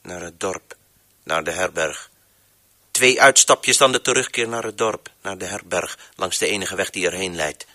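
A man acting as a brooding, anguished narrator in an avant-garde radio drama, speaking in terse, deliberate, theatrical phrases. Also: deep voice, bright, forceful tone. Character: brooding, anguished narrator who opens and closes voices.

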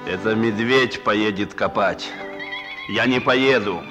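An actor playing a hard, sardonic younger thief in a radio play, curt, slangy and used to giving orders. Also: male voice, on the low side, slow pace. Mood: defiant, scornful. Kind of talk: mid-century radio play acting. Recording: old radio recording with music behind.

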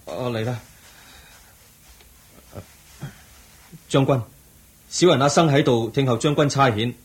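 A man's voice: mid-range voice, a little fast, close to an everyday voice. A man acting a deferential, coaxing servant to a king in a Hong Kong Cantonese radio drama. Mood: meek. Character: fawning servant, secretly a vengeful fallen prince.

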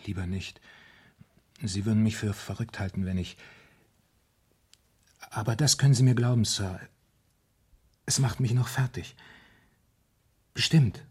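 An actor in a German radio crime drama playing a troubled man who speaks hesitantly and haltingly about his fears. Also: on the low side, a little mellow, breathy edge. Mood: anxious, tormented. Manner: hesitant, halting, repeating himself. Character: anxious, troubled man confiding a dreadful fear.

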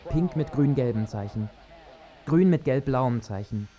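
A man's voice: medium pitch, lively intonation, measured pace, dark tone, lightly acted. An actor playing a male narrator who methodically recites descriptions in a scripted radio play.

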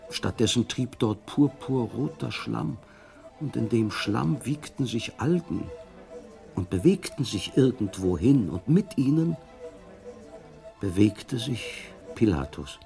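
A man narrates a radio play in a grave, hushed tone, slow and gravelly with a lowish voice. Soft music lies faintly behind him.

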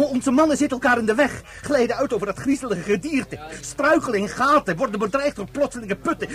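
A very high-voiced, jittery soldier in a radio drama. He stammers and hesitates, anxious and submissive toward his commanding officer. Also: a bit bright. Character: nervous, frightened male soldier reporting to his colonel. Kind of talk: radio play acting.

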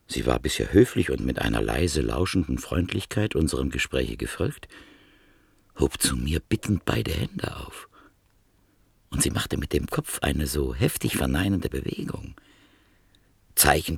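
An actor narrating a radio play as a reflective art dealer from Berlin, measured and thoughtful. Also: male voice, rumbling low, vocal fry, husky, no room sound, exaggerated voice.

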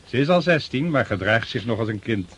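A man's voice: mid-range voice, lively intonation, fairly quick, fairly bright tone, slightly rough. An actor playing an indulgent older nobleman and father in a radio drama, affable, courteous and slightly bustling. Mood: affably proud. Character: genial, indulgent older count, fatherly and hospitable.

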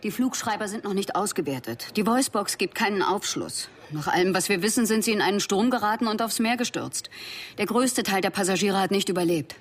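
Woman as a husky, sober investigator. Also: medium pitch, lively intonation, fairly quick, close to an everyday voice. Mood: sober, puzzled. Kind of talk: radio drama acting.

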